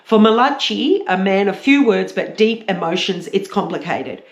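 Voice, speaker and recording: A woman with a croaky voice, chatting casually and a little hesitantly as a book reviewer. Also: mid-range voice, expressive, moderate pace. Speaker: book reviewer, vlog host. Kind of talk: casual book chat with read-aloud blurbs. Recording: roomy, echoey sound.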